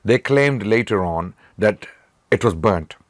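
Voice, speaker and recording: A man's voice: on the low side, lively intonation, a little slow, no echo, clean studio sound. A man whose first language is Urdu, taking part in a panel discussion, insistent and given to repeating his questions.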